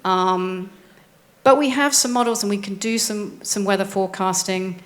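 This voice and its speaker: A woman's voice: mid-range voice, normal speed. A woman oceanographer on a panel, speaking conversationally and tossing in rhetorical questions. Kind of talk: panel discussion.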